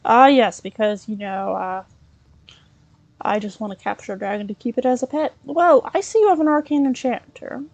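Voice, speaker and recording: A woman's voice: a little high, normal speed, darkish tone, dry recording. A woman doing an improvised in-character let's play, chatty and hesitant as she thinks aloud. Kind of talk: in-character improvised gameplay roleplay and commentary.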